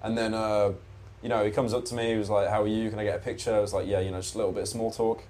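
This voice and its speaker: A man's voice: fairly low pitch, little pitch movement, racing, darkish tone. A man in his twenties, a podcast guest chatting casually in a rambling, laid-back way, with a lot of swearing. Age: 20s. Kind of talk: casual chat.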